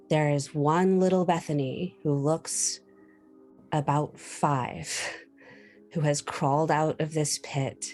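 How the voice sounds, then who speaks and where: A woman improvising horror narration for a tabletop roleplaying game in halting, deliberate phrases. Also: low voice, a little mellow.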